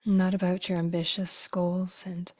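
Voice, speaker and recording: A woman guiding a meditation in a soft, breathy voice that often drops toward a whisper, with slow, gentle phrases and long pauses. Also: on the low side, little pitch movement. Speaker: meditation teacher. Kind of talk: guided meditation.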